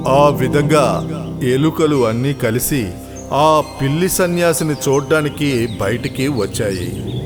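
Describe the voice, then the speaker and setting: Male narrator, children's story, scripted delivery, mid-pitched, music behind. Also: slow.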